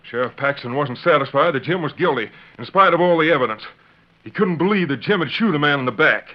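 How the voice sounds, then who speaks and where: A man's voice: a little high, expressive, quick pace, lightly acted. A man playing an earnest, folksy deputy in an old-time radio western, with colloquial, pleading talk. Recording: muffled vintage radio recording.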